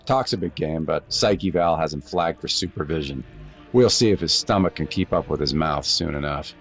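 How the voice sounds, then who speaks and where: A seasoned expedition guide with a very low voice. He is measured, dry and commanding, as in a science fiction radio play, with music underneath. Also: fairly bright tone. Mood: dry, sardonic. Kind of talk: audio drama acting with narration.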